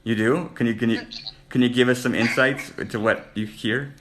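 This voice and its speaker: A man's voice: mid-range voice, steady tone, moderate pace, a crowd in the background, low-quality recording. A man hosting a casual relationship chat, asking blunt, teasing and probing questions. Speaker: host.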